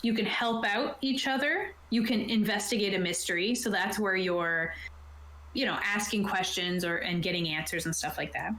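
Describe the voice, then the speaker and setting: A woman's voice: medium pitch, normal speed, fairly bright tone. A woman game master casually explaining tabletop game rules in an informal, conversational way. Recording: roomy, echoey voice sound.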